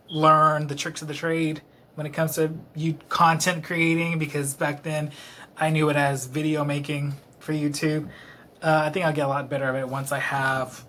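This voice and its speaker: Bright-voiced man rambling, a bit tipsy. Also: fairly high pitch, a little hiss or hum. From Dallas, Texas. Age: late 20s. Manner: self-deprecating, rambling, many fillers. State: a little tipsy. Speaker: vlogger. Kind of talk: rambling casual monologue to camera. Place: his own apartment, indoors. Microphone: camera close-up.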